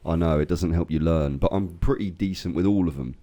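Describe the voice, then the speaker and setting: An English man co-hosting a casual podcast, swearing freely and telling lively stories while getting over a cold. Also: low-pitched, fairly quick. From England. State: recovering from a cold. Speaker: podcast co-host. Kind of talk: casual chat.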